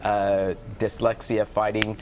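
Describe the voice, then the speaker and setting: A man with a deep voice interpreting for a deaf guest, speaking her answers in the first person in a TV studio interview. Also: little pitch movement, slow pace, a little mellow, a little hiss or hum. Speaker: sign language interpreter voicing a guest.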